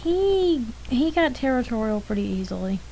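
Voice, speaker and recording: A woman casually telling stories as she narrates an art demonstration, unscripted and rambling, with halting self-corrections. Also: a little high, sing-song, mellow. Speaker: artist narrating a drawing demonstration. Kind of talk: casual unscripted storytelling over an art demo. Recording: clearly noisy homemade recording.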